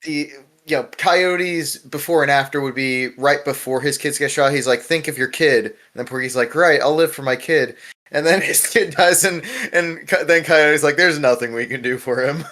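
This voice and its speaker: A man's voice: on the high side, moderate pace, pushed and bright. A man hosting a casual discussion podcast, talking in an informal, rambling way with plenty of swearing. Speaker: podcast host.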